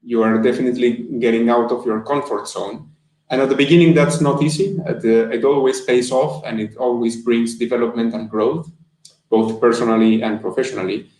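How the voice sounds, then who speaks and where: A man's voice: mid-range voice, steady tone, fairly quick, a little mellow. Man in an echoey room. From Colombia. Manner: earnest and thoughtful, with frequent restarts. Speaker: guest, marketing manager. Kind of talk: interview answers on a live stream. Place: room indoors. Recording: echoey room on a video call.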